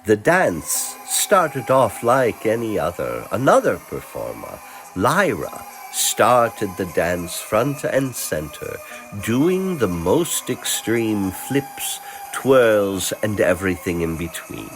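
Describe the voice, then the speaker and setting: A man reading a scripted story as narrator, theatrical and deliberate, with dramatic pauses between phrases. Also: lowish voice, big pitch swings, faint music behind, low-quality recording. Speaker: narrator, voice performer.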